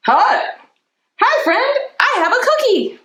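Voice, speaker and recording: A woman voicing small, playful storybook characters with squeaky, eager exclamations. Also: very animated, slow pace, bright, forceful tone, echoey room, far from the mic, slight background noise, full character voice. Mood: gleeful. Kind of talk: picture-book character voice.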